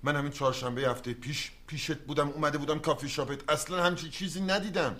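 An actor playing a young psychologist, often exasperated and pleading with people. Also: male voice, a little high, fairly even intonation, sharp tone. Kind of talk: sitcom acting.

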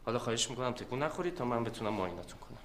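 An actor playing a polite but impatient dentist trying to examine a patient. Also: male voice, mid-range voice, lively intonation, fairly bright tone, a little hiss or hum, natural voice. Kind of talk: sitcom acting.

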